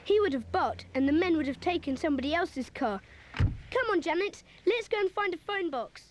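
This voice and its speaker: A sensible schoolboy who takes charge of his gang. The voice is high and animated, with an earnest, bossy manner made for a children's audio story. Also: normal speed, slight background noise. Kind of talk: children's audio dramatisation acting.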